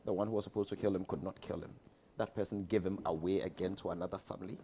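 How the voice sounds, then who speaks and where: Fast-talking, low-voiced Ghanaian man, emphatic guest. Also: steady tone, background noise. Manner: emphatic, repeats phrases for effect. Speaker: theatre director guest. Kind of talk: animated promotional interview chat.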